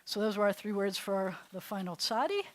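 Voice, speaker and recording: A woman rabbi teaching a beginners' Hebrew class in a loose, chatty way, often restarting her sentences and sounding words out slowly. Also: mid-range voice. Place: classroom or congregation hall.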